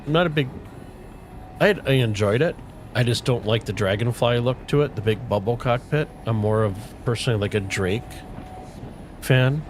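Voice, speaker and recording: A middle-aged man chatting casually with viewers on a game livestream, relaxed and rambling, with a soothing voice. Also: medium pitch, moderate pace, a little hiss or hum. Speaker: streamer. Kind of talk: casual livestream chat while gaming. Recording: faint music playing underneath.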